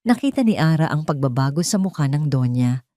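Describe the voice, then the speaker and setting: A woman's voice: sits low, big pitch swings, fairly quick, mellow, no room sound, studio quality. A woman storyteller reading scripted dramatic narration for an audio romance story.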